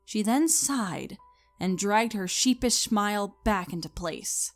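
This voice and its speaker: Expressive woman narrating a story over music. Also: medium pitch, slow pace. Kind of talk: scripted dramatic story narration.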